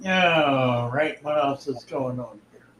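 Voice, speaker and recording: Slow, folksy older man in an echoey room. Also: medium pitch, fairly bright tone. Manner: folksy, easygoing, reads chat comments aloud. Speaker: livestream host, fish keeper. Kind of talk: casual livestream chat.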